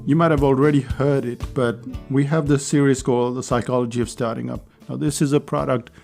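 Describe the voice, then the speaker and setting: A man hosting a casual solo podcast, chatty and persuasive, with his voice hoarse and going. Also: medium pitch, steady tone, brisk, darkish tone, faint music behind. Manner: chatty, persuasive, with small laughs. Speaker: podcast host.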